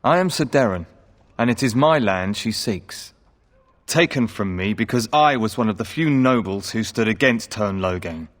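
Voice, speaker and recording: An actor playing an indignant, honorable knight in a video game, formal and pointed, in a reverberant hall. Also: male voice, lowish voice, lively intonation, normal speed, close to an everyday voice.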